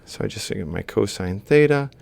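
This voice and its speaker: A male lecturer speaks in a deep voice thick with creak. He explains a worked example informally, one step at a time, thinking aloud as he goes. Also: expressive, normal speed, a little mellow. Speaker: university lecturer.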